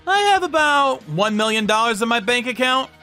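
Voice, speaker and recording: A man's voice: extremely high pitch, lively intonation, moderate pace, sharp tone, soft background music, pitched above the performer's own voice, full character voice. A man playing an indignant, blunt older brother, a protective factory worker, in a dramatized story. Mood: defiant. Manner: blunt, scolding.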